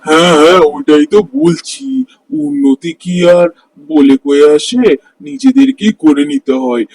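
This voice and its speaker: A man voicing a cheerful, greedy married street-food vendor in a cartoon story, with an expressive, exclaiming delivery. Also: fairly high pitch, a little slow, creaky, no echo, full character voice, rough recording. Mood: scheming. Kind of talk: Bengali cartoon story voice acting.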